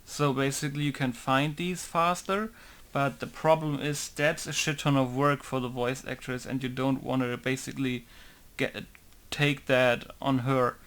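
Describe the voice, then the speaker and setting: A man of middle pitch gives a casual, loose explanation of game modding. He rambles and keeps saying "basically" as he talks through the steps. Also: flat delivery, unhurried, fairly bright tone. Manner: rambling, says "basically" again and again. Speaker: game modder. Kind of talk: casual screen-recorded tutorial.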